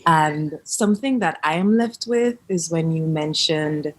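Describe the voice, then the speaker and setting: A woman hosting a podcast, asking questions in an enthusiastic, warm manner. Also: low voice, slow. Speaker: podcast host, birth coach. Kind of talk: casual interview.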